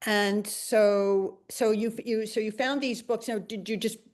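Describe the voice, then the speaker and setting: A woman's voice: mid-range voice, steady tone, unhurried, darkish tone. A woman interviewing a guest, asking questions that she restarts and rephrases as she speaks. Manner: asks stacked, rephrased questions.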